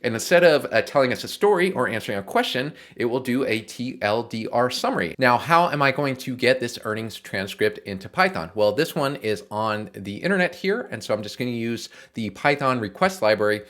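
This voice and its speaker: A man's voice: mid-range voice, expressive, a little fast, few pauses, a bit bright. A man giving an informal, explanatory screencast tutorial on coding, often checking in with the listener. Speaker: programming tutorial host. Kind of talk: casual walkthrough of code in a screencast tutorial.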